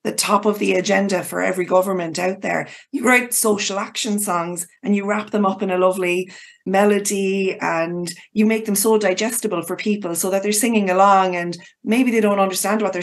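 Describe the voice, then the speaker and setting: Woman interviewing, medium-pitched voice. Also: steady tone, fairly quick. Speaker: podcast co-host interviewer. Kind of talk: conversational interviewing.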